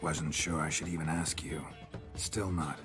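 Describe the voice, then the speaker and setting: An actor playing a stoic, world-weary monster hunter in a game, gravelly, hushed and deadpan. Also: male voice, rumbling low, a little slow. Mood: reluctant, grave. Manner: terse, deadpan, clipped. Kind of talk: understated video game dialogue. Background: background music.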